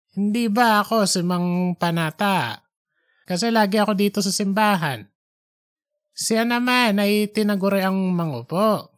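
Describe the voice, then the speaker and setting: A performer voicing a devout, earnest man in his late eighties for an audiobook story, speaking slowly and deliberately. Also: medium pitch, no room sound, studio quality. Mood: earnest, matter-of-fact. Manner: slow, deliberate, hesitant openers. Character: pious, earnest 87-year-old Filipino grandfatherly man. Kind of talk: fictional audiobook character dialogue.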